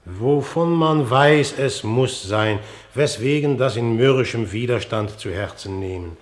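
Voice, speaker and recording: An actor playing an authoritative, smooth-talking middle-aged king in a classical radio drama, speaking formally and with regal measure. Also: medium pitch, unhurried, natural voice. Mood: stern, courtly. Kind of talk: classical German stage-verse radio drama acting.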